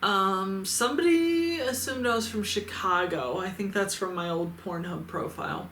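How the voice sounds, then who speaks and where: A woman's voice: medium pitch, animated, moderate pace, fairly bright tone, slight background noise. Blunt, sardonic Midwestern woman, roomy sound. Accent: Midwestern American. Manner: blunt, sarcastic and profane. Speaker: adult toy reviewer and YouTuber. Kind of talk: casual comment-reading vlog. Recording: roomy echo with faint ambience.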